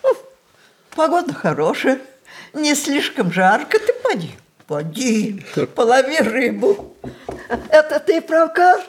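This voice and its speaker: A woman's voice: high voice, very animated, slow pace, slight background noise, full character voice. An actress playing a shrewd old grandmother in a radio play, commanding and playful. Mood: teasing. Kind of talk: Russian stage radio play acting.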